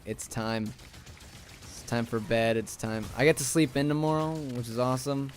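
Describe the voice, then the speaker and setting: A young man streaming a game, sleepy and a little raspy, rambling casually to chat as he plays. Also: medium pitch, steady tone, moderate pace, slight background noise. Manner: rambling, talks to chat while playing.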